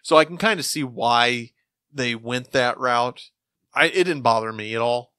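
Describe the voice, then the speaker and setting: Casting: male co-host, average pitch, bright forward tone, informal conversational podcast style, dry sound. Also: a little slow, smooth, no echo. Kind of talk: casual podcast discussion.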